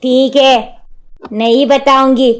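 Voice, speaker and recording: A woman's voice: high voice, level tone, takes it slowly. A woman voicing a bossy, hot-tempered mother-in-law in a cartoon story, theatrical and scolding in manner. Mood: threatening.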